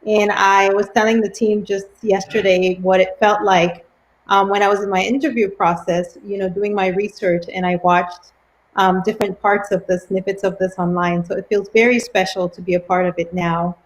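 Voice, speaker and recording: A woman's voice: mid-range voice, steady tone, moderate pace, a little hiss or hum, poor audio. A woman in her forties from Cameroon, the new head of a school, introducing herself in a friendly way with frequent ums over a video call. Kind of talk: introductory welcome speech.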